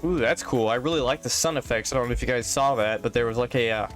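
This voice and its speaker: A man's voice: medium pitch, fast talker, soft background music. A congested man giving casual, rambling commentary as he plays a game, thinking aloud and often unsure. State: congested from allergies. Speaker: gaming channel host.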